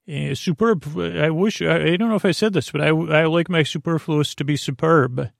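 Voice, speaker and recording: A man with a creaky voice hosting a bedtime podcast, rambling in a halting, goofy, digressive way. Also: fairly high pitch, dry recording, clean studio sound. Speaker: podcast host.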